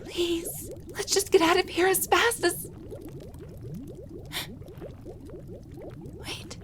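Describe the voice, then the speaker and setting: A woman's voice: very high-pitched, measured pace, noisy background. A woman playing a tiny, frightened enchanted doll, a cursed waitress, pleading hesitantly in a roleplay audio drama. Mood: panicked. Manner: breathy, hesitant, urgent pleading.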